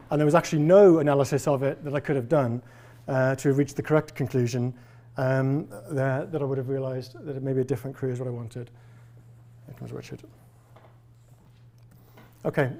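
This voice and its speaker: Man in his forties, faint Welsh accent, slightly high and warm-toned; relaxed, wry lecturer. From Wales. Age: 40s. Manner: conversational, wry and self-deprecating. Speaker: professor giving a lecture. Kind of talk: public lecture. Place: lecture hall with a live audience.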